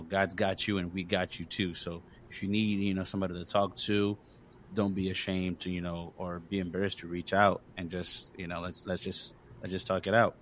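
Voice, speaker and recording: A man's voice: low voice, slight background noise. A man from Congo hosting a podcast, talking earnestly and encouragingly in casual conversation, with lots of fillers. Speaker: podcast host.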